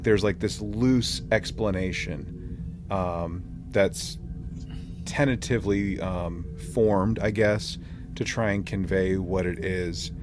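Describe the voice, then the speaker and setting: Halting, low-voiced man podcasting. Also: background noise. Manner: halting, tentative explanations. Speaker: podcast co-host. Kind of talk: casual podcast discussion.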